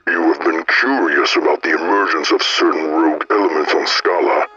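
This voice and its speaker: A man playing a shadowy informant in an audio drama, speaking formally and deliberately in measured, cryptic phrases. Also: very deep voice, bright, forceful tone, husky, muffled recording, heavily acted.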